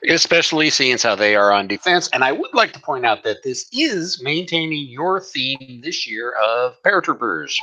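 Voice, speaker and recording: A man's voice: medium pitch, sing-song, normal speed, few pauses, bright, forceful tone, low-quality recording. A man co-hosting a game stream, playful and self-deprecating, joking with his friend over a computer mic. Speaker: co-host and opponent. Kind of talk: casual chat, joking banter. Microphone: computer mic at his desk.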